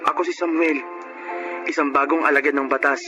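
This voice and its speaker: An actor with a Filipino accent playing a devoted young son and new police officer in a radio drama. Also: male voice, on the high side, steady tone, brisk, highs cut off, lightly acted. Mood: reflective. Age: young adult. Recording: radio drama with music bed.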